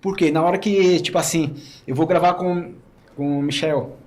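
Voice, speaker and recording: A man's voice: on the high side, moderate pace. A man with a Northeastern Brazilian accent mixed with São Paulo, a reporter telling stories in a podcast chat and often saying "cara". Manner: animated storyteller, often says "cara". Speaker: reporter guest.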